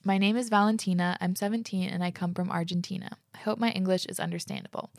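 A woman's voice: on the low side, fairly even intonation, fast, vocal fry, no room sound, clean studio sound. A young woman hosting a podcast, reading listener stories aloud and reacting to them casually, with frequent "like" fillers and the odd swear word. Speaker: podcast host.

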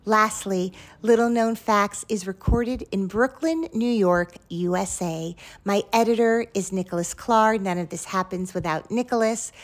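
A woman's voice: medium pitch, a little slow, few pauses. A woman hosting a podcast in a chatty, effusive and appreciative way. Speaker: podcast host. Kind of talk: warm-worded interview chat and show sign-off.

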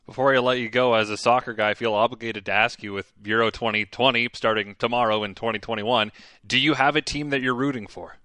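A man's voice: mid-range voice, fairly even intonation, fast talker, bright, forceful tone, dry recording. A man hosting a radio sports show, asking questions in a conversational way and signing off the show. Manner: conversational, upbeat sign-off.

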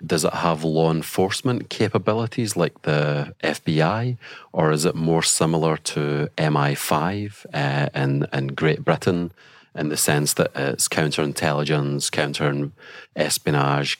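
A man hosting a podcast interview, asking measured, polite questions. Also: very deep voice, fairly even intonation, dry recording, clean studio sound. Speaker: podcast host.

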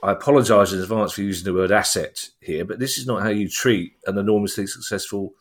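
A man's voice: on the low side, steady tone, fast. A man co-hosting a casual football podcast, with a wry, self-deprecating sense of humour. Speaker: podcast co-host.

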